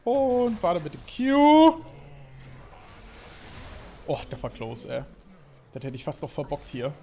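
A man in his thirties commentates his own gameplay from a home desk setup. His voice sits a little high and swings widely in pitch as he gets excited, with frequent filler sounds between thoughts. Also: slow, creaky, a little hiss or hum. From Germany. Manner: lively, excitable, talks through play with fillers. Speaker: gaming YouTuber. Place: home streaming setup, indoors.

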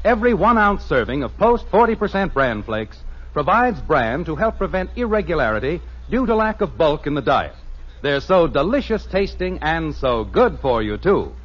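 A man's voice: fairly high pitch, sing-song, moderate pace, slightly rough. An American man announcing a 1950s radio show, reading scripted narration and sponsor copy. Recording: muffled vintage radio broadcast with loud music.

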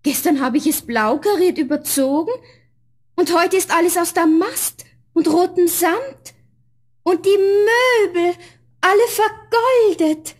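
A woman's voice: very high voice, slow. An actress playing a kind, modest poor young girl in a fairy-tale audio play, earnest and artless. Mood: amazed.

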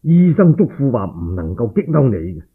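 A man acts a cautious military deputy with a Cantonese accent in a radio story. The voice drops low and husky, careful and deferential towards his superior. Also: very animated, normal speed, phone-quality sound. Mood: apologetic, careful. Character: cautious, deferential male army deputy reporting to his commander.

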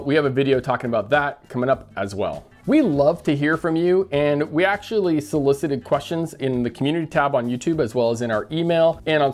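An enthusiastic middle-aged man talks straight to camera, upbeat and plain-spoken, in a voice of ordinary middle pitch. He is recorded close on a lapel mic in a small indoor studio. Faint music runs quietly beneath him. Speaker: fly shop owner and host. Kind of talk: casual shop update vlog.

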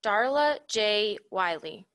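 A woman formally reading out graduate names as a commencement announcer, in a measured, clearly enunciated way. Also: mid-range voice, expressive, a bit bright.

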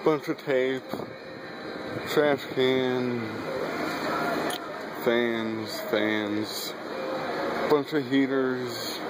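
A young man casually walking through his cluttered garage workshop, listing his fan collection in an offhand way with many fillers, filmed on a handheld phone. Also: medium pitch. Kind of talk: casual handheld walkthrough narration. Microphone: handheld phone camera. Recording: loud background hum and room noise.

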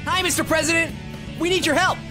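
A woman's voice: very high voice, steady tone, fast talker, over music. A woman voicing a brash, bossy young male hedgehog hero in an exasperated, emphatic way, for a comic game fan dub. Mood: brisk, bossy.

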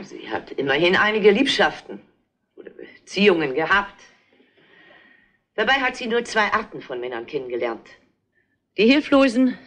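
A middle-aged actress playing a proud, grieving mother in a German crime drama, speaking in a deliberate, halting, emphatic way. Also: low voice, full character voice. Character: grieving, proud mother defending her dead daughter.

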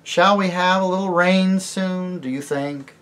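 A woman's voice: lowish voice, unhurried, pitched above the performer's own voice, close to an everyday voice. A woman voicing a gloomy, cautious marsh creature who speaks stiffly, bluntly and on his guard.

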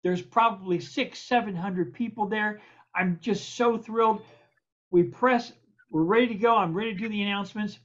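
An older man, a producer and co-host, telling an emphatic, animated story over a video call. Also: sits high, normal speed. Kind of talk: casual storytelling. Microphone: video call webcam. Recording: dull lo-fi video call audio.

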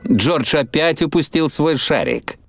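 A man's voice: a little high, big pitch swings, unhurried. A man acting as the kindly storyteller who narrates a children's cartoon. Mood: storytelling. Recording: loud cartoon music behind.

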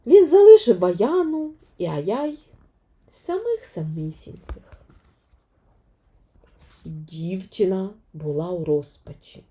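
A woman narrating a fairy tale for children, expressively and dramatically, with deliberate emphasis. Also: medium pitch, sing-song, dark tone. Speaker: children's storyteller.

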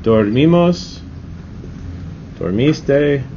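A man's voice: medium pitch, lively intonation, very slow. A man teaching a grammar lesson in an informal, reassuring classroom manner, often checking in with short rhetorical questions. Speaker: Spanish teacher. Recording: dull lo-fi audio, clear background noise.

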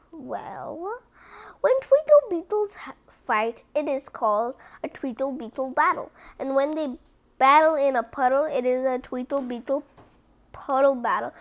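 A read-aloud presenter reading a picture book in an animated way, with casual asides to viewers and some stumbling over the words. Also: sits high. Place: indoors at home, book on a cloth.

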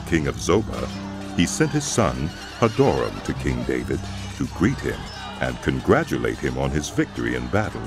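A man delivers solemn, scripted scripture narration. His voice is extremely low, with lively, dramatic movement in pitch. Music plays clearly behind his deliberate reading.

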